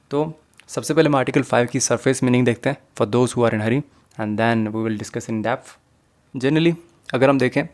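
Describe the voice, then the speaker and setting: A man with a Pakistani accent explains material as a law lecturer, in a methodical, didactic way. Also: medium pitch. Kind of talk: explanatory lesson mixing Urdu and English.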